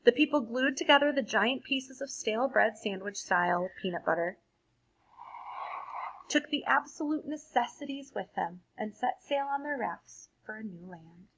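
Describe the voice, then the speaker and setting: A woman reading a storybook aloud expressively for children, like a teacher pausing to explain things to young listeners. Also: a little high, expressive, a bit bright, husky, muffled recording.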